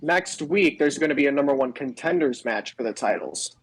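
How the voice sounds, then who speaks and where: Male co-host for a casual wrestling podcast. Medium pitch, explanatory, quick to interject and clarify. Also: expressive, breathy edge, rough recording.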